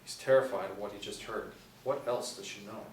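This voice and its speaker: A young man narrates screenplay directions at a table read. His voice sits slightly low, picked up from a distance in an echoey room. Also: fairly even intonation, brisk. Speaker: narrator reading stage directions. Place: small meeting room, seated in a row. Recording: echoey room, distant mic, faint noise.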